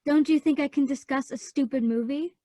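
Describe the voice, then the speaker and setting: Woman doing a sulky sarcastic kid sister for a radio play, really high voice, dry recording. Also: brisk, dark tone, dry recording, lightly acted. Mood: hurt, angry. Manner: petulant, sarcastic. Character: sulky, spirited young artist girl, sharp-tongued little sister.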